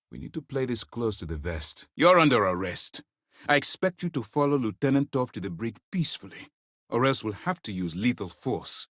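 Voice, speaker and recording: A stern space navy commander for a video game, with a low voice that moves expressively through a wide range. He speaks formally and with firm authority, and the recording is close and dry. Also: no room sound. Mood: stern, angry. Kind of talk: acted game dialogue.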